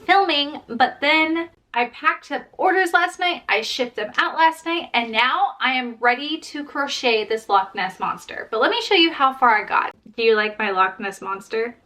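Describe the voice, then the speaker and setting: Young female vlogger, fairly high voice, informal and chatty, speaking straight to viewers. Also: animated, normal speed, hardly any pauses, bright, forceful tone. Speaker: crochet vlogger and host. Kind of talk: casual chat to viewers.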